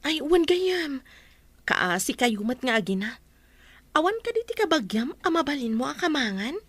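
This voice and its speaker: A woman's voice: high voice, very animated, normal speed, dry recording. A young woman with an Ilocano accent playing a caring, sympathetic friend in an audio drama. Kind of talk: radio drama acting.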